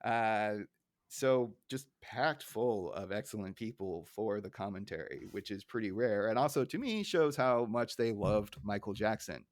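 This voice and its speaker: A man's voice: on the low side, animated, a little slow, dry recording. A man in his forties or older, hosting a podcast and casually recapping an episode. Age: 40s or older. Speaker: podcast host.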